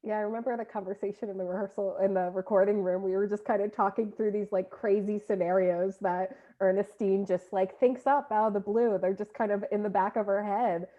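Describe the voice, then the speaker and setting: A woman's voice: mid-range voice, steady tone, a little fast, few pauses. A woman in a casual, informal panel conversation, posing questions as a theatre director, on slightly dull audio. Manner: informal, uses "like" often. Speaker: theatre director and producer, interviewer.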